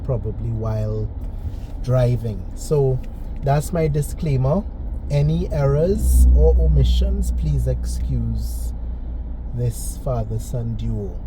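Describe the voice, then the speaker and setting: A man hosting a driving tour, narrating casually as he drives, polite and measured, carefully correcting himself as he goes. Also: mid-range voice. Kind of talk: unscripted narration while driving. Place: inside a moving car. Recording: loud road and engine noise.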